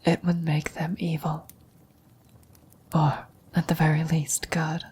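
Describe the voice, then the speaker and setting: A woman voicing a vampire's dying former lover in an ASMR roleplay, a wistful, knowing woman who whispers in a breathy, solemn, deliberate way. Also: sits low, completely flat delivery, darkish tone, a little hiss or hum. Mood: grave warning.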